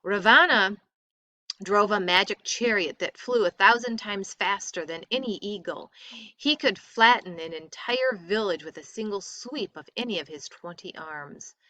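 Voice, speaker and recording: A woman reading a story aloud expressively, with deliberate, dramatic emphasis. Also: medium pitch, pushed and bright, no echo, rough recording.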